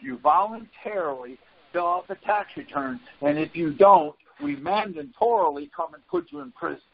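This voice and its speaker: A man with a notably high voice argues his points on a group call. He is insistent, repeats himself and cuts in. The audio is dull and lo-fi. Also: very animated, normal speed, gravelly. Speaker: conference call participant. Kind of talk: argumentative explaining on a group call. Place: group phone conference call.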